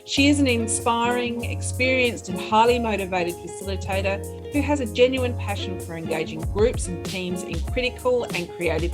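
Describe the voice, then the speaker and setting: A woman hosting a podcast, first reading a guest introduction and then chatting with easy agreement. Her voice sits at a middle pitch, and music plays clearly underneath. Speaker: podcast host.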